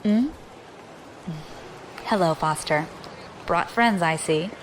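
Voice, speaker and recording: A woman's voice: on the low side, slow pace, background noise. A woman playing a defiant, ambitious young adventurer in an audio drama, wry and guarded in manner. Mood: wary.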